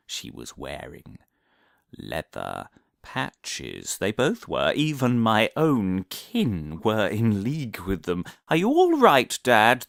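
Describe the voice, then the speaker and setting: A man's voice: on the low side, gravelly, fairly bright tone, no echo, studio quality, heavily acted. A man playing a deluded, paranoid professor in a comic audio drama monologue, earnest and pompous, with a theatrical, stammering delivery. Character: deluded paranoid male professor, eccentric conspiracy theorist.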